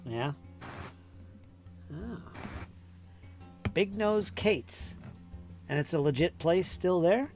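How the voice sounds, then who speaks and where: A laid-back man hosts a casual chat and keeps his replies short. His voice sits a little high. Music plays clearly behind him. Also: animated, drawn-out words, long pauses, darkish tone. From Pennsylvania. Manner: brief, easygoing replies.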